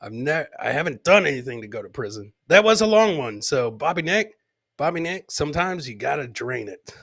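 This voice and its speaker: A man's voice: on the high side, very animated, fairly quick, fairly bright tone. A man hosting a casual live comedy podcast, rambling and jokey, often repeating his own phrases. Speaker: podcast host.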